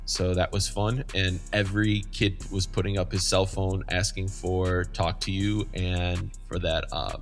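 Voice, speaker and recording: A man's voice: low voice, flat delivery, a little slow, few pauses, faint music behind. A man hosting a casual chat among fellow DJs, asking questions in a slangy, conversational way. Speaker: podcast host and DJ.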